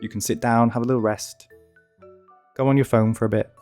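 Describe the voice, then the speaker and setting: A man's voice: medium pitch, steady tone, fairly quick, darkish tone, soft background music. A man with a cold, chatting casually and ranting a little while commenting on a video game build. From United Kingdom. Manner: chatty, ranting, self-deprecating asides. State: has a cold. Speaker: gaming channel host.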